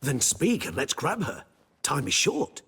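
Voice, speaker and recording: A man's voice: on the high side, wildly swooping pitch, unhurried, gravelly, a bit bright, breathy edge, full character voice. A man voicing a courteous, tactful companion in a video game, with a polite, formal and earnest delivery. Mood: urgent. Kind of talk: video game cutscene acting.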